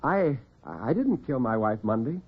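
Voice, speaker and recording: A man's voice: mid-range voice, sing-song, unhurried, slightly rough. A man playing a bewildered, subdued husband under accusation, hesitant and baffled, in classic radio drama style. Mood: dazed, baffled. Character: bewildered, dazed husband accused of killing his wife. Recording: narrow-band vintage radio recording.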